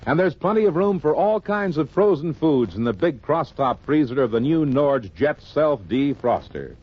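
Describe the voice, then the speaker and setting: A man's voice: on the high side, lively intonation, normal speed, slightly rough. A man announcing a 1950s radio commercial with an emphatic salesman delivery. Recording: dull, muffled old radio recording.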